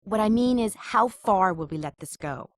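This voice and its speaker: A woman's voice: medium pitch, expressive, moderate pace, dry recording, close to an everyday voice. Actress as troubled, doubting woman, dry sound.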